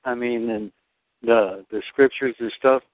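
Man, caller, earnest, devout, halting, phone line, dry sound. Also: mid-range voice, fairly even intonation, no echo. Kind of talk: spiritual discussion.

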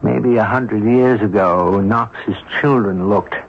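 An actor playing a genteel, inquisitive amateur sleuth in a 1970s radio drama, with articulate, theatrical, slightly formal phrasing. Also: male voice, fairly low pitch, slow pace. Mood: intrigued, explaining. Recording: muffled, noisy old radio broadcast recording.

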